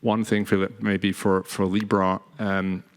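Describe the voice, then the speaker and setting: A man with a Swiss accent speaking on a conference panel, halting, with many fillers and restarts. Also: sits low, flat delivery. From Switzerland. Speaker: panelist, blockchain entity officer. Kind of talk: panel discussion. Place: conference hall stage. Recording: long reverb of a conference hall.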